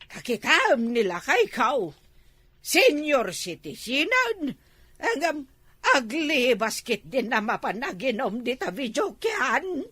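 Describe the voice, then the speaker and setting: A woman with an Ilocano accent playing a jealous, scolding older village wife in an amateur comedy drama, with an exasperated, drawn-out delivery. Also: sits high, sing-song, bright, forceful tone, slightly rough, no echo, heavily acted. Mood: worried, indignant. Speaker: actor in comedy skit.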